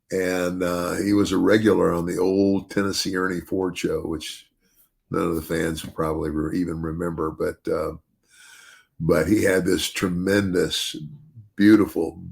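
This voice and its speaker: Older male podcast host, deep bass voice with gravel, rambling and restarting mid-thought. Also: flat delivery, unhurried, darkish tone. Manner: rambling with many restarts and "you know". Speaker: podcast host, retired wrestler. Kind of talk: casual podcast chat.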